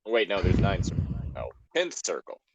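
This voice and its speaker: Wry man with a very lively delivery. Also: deep voice, moderate pace, gravelly, highs cut off, very noisy. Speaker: podcast co-host. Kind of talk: casual joking group chat. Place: remote online call.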